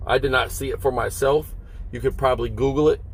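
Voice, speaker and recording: A man, a long-haul trucker, talks casually and haltingly to his viewers from inside a parked truck cab, explaining things and checking in with short questions. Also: mid-range voice, fairly even intonation, breathy edge, low-quality recording. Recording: vehicle noise behind the voice.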